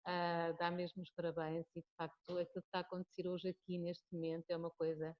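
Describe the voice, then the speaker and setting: A woman's voice: lowish voice, monotone, fast. A woman HR professional, speaking hesitantly with many fillers at a web conference. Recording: muffled web-call audio.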